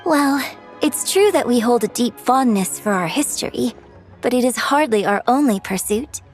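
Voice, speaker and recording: A woman's voice: a little high, lively intonation, moderate pace, faint music behind, lightly acted. A woman playing a polite, eager, well-bred young scholar with courteous, eloquent speech in a video game. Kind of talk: video game cutscene acting.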